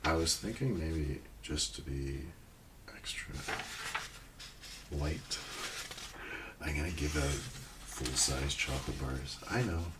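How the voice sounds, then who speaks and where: A man doing a casual ASMR roleplay, murmuring and rambling in a low-key, unhurried way, sometimes near a whisper. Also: distant mic, very noisy.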